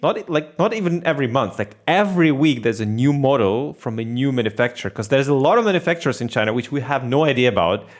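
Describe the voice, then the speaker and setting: Excitable man. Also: medium pitch. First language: Russian. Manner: enthusiastic, rambling rants. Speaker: podcast host. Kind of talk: casual podcast chat.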